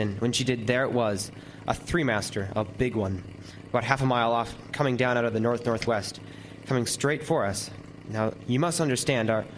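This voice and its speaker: A man's voice: mid-range voice, a little hiss or hum, close to an everyday voice, poor audio. A man playing a lighthouse keeper who tells his tale as dramatic first-person narration in old-time radio horror style. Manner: vivid, deliberate storytelling with lingering descriptions. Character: lighthouse keeper recounting a frightful night.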